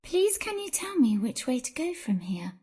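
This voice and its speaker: A woman's voice: high-pitched, very animated, normal speed, warm tone, breathy edge, no room sound, clean studio sound, full character voice. A woman voicing a polite, kind young girl in a children's fairy tale game. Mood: sweet, polite. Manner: polite, sweet, eager. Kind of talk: children's storybook game acting.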